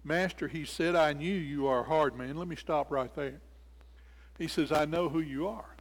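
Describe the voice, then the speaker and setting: Older preacher in an echoing hall. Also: male voice, fairly high pitch, moderate pace. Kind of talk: sermon, expository Bible teaching. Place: indoors in a church sanctuary at a lectern. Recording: reverberant church hall.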